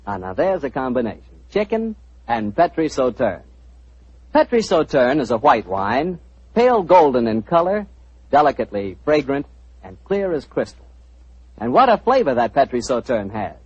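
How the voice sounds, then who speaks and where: A man's voice: medium pitch, very animated, moderate pace, a little mellow, husky, no room sound. A man hosting as a hearty, salesman-like 1940s radio announcer. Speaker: announcer and show host. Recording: dull old radio recording.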